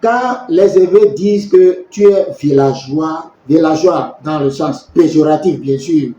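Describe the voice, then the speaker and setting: A man preaching a sermon in measured phrases, pausing for his interpreter. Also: a little high, mellow. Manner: slow, measured preaching with pauses for translation. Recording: echoey, muffled church recording.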